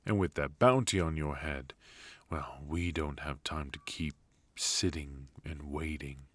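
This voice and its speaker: A man playing a brooding, protective knight in an intimate ASMR roleplay, speaking in a halting, earnest, hushed way. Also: extremely low pitch, dry recording, full character voice. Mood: pensive, uncertain. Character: brooding, protective male knight devoted to an outlawed royal. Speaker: voice actor.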